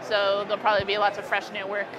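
A woman's voice: mid-range voice, completely flat delivery, fast. A young American woman talking casually and informally as an artist being interviewed at an expo booth. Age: young adult. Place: indoor convention expo booth. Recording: busy crowd noise around the voice. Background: convention hall crowd chatter.

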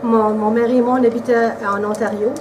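Interviewee: woman in her 40s, québécois accent, relaxed chat, distant camera mic, hissy room. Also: fairly high pitch, a little fast. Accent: Québécois French. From Quebec, Canada. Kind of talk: casual interview answers. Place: indoors by a brick wall.

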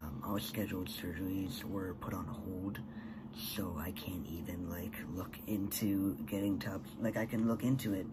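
A young man talking casually to a webcam in his bedroom, soft-spoken with many fillers, often trailing off into breathy whispers. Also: sits low, very noisy. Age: young adult. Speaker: vlogger. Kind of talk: casual personal vlog. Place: small bedroom indoors.

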